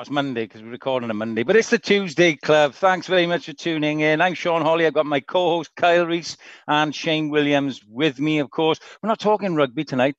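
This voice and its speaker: A man's voice: mid-range voice, no echo, highs cut off. Upbeat man hosting a podcast. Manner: enthusiastic, talks up guests. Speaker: podcast host. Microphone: video call.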